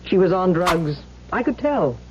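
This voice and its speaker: Casting: exclamatory suburban busybody, expressive and blunt, dull-sounding old radio recording. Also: female voice, fairly low pitch, measured pace, a little hiss or hum. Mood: gossipy, scornful. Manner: chatty, exclamatory, scornful. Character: gossipy, blunt suburban housewife neighbor. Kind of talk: old-time radio drama acting.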